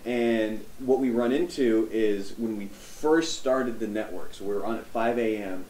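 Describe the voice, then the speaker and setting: A man's voice: lowish voice, a little slow, dark tone, far from the mic, a little hiss or hum. Male radio host, joking storyteller, roomy echo. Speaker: radio morning show host. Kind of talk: casual radio talk show chat.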